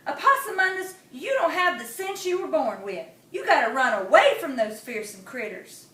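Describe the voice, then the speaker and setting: Storybook read-aloud: woman voicing a fussy, loving mother possum, high and animated, heard from a distance. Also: normal speed. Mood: fussing. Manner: scolding, doting. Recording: echoey room, mic at a distance.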